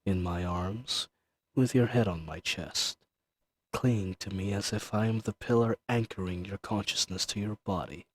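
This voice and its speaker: A man's voice: lowish voice, close to an everyday voice. A man playing an ancient dragon in roleplay audio, imperious and teasing, dangerous yet tender, speaking to the listener in formal, old-fashioned phrases. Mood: teasing, seductive. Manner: slow, haughty, teasing, formal archaic diction.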